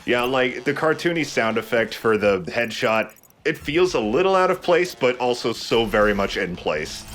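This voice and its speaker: A man's voice: mid-range voice, lively intonation, normal speed, a bit bright. A man giving casual, conversational video game commentary as a let's play host, explaining as he goes. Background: game music.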